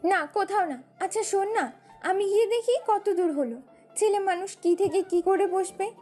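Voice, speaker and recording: A woman's voice: very high voice, moderate pace, mellow, pitched above the performer's own voice. A woman voicing an anxious, doubting young woman, an older sister in a romantic audio story. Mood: fretful. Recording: music bed behind voice.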